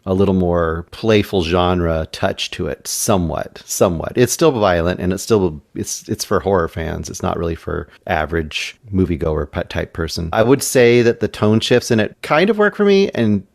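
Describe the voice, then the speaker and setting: A man's voice: low voice, expressive, normal speed, creaky, hardly any pauses, dry recording, clean studio sound. A man giving a casual movie review as a panelist, thinking aloud and qualifying his opinions.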